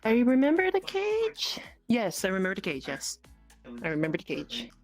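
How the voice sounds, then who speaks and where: A woman's voice: medium pitch, wildly swooping pitch, moderate pace. A woman chatting playfully in a role-play game, often repeating short phrases. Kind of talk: casual reactive role-play chat. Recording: music playing behind the voice. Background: music.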